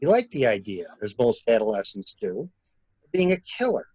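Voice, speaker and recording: Man acting a calculating, animated murder-mystery villain. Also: medium pitch, slightly rough, no echo. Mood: musing, insinuating. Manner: theatrical, musing, speaks in measured short phrases. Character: calculating male murderer posing as helpful investigator. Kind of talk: amateur radio-play style mystery acting.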